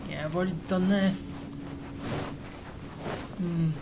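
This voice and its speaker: A woman's voice: fairly low pitch, fairly even intonation, takes it slowly, noisy background. A woman doing casual game commentary, thinking aloud in short reactive remarks. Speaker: gameplay commentator. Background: loud game music.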